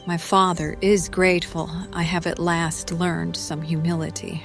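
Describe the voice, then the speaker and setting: A woman acts a maimed but determined young female warrior in an audio drama. Her voice is a little low and very even, with slow, solemn phrasing over faint music. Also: vocal fry. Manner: measured, solemn, resolute.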